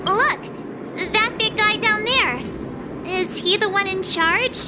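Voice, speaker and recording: Very high-pitched bubbly teenage girl. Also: slow pace, noisy background. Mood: curious, playful. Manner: bubbly, playful. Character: curious, cheerful, excitable teenage girl. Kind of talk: video game voice acting.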